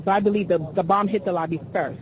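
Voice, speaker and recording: An eyewitness recounts events at a rapid pace in an interview. Faint noise sits behind the voice. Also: mid-range voice, fairly even intonation. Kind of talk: spontaneous eyewitness account.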